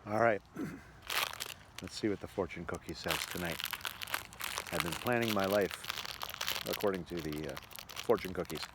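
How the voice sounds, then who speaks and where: A man's voice: deep voice, normal speed, long pauses, very noisy. A man giving a chatty, rambling video diary as a travelling entertainer. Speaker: travelling musical entertainer and vlogger. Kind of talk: casual video diary chat.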